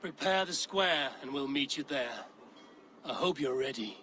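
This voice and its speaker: An actor playing a bold, blunt Viking warrior who goads and mentors with wry command in a video game cutscene. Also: male voice, medium pitch, expressive, a little slow, pushed and bright, slight background noise. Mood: stern, wry.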